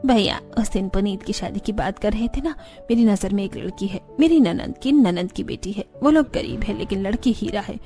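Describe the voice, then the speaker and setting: A woman's voice: medium pitch, normal speed, dark tone, lightly acted. A woman voicing a caring, traditional sister of an aging man in a cartoon. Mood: affectionate, urging. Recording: background music under the voice.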